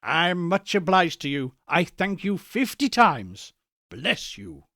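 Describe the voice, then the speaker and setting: A man performs an elderly reformed miser from a storybook, eager and full of kindly joy. His speech is courteous and effusive, with a lively, swinging pitch and a close, dry sound. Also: slow pace, no echo, heavily acted. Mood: eager, grateful.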